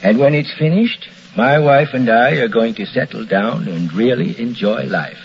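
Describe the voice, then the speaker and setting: An American actor playing a contented middle-aged husband in a 1940s radio commercial testimonial. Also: male voice, medium pitch, normal speed, close to an everyday voice. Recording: muffled old radio recording, faint hiss.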